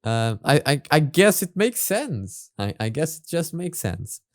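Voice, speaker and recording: A man hosting a reaction video with casual spoken commentary, halting and repeating his phrases as he goes. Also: fairly high pitch, sing-song, no room sound, clean studio sound.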